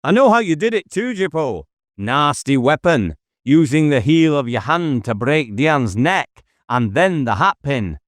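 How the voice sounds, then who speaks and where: Agitated police inspector, played by a male narrator, pitched up, declamatory and challenging, dry audiobook sound. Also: very animated, unhurried, no echo. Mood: taunting, commanding. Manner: challenging, raised declamatory delivery. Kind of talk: audiobook character dialogue.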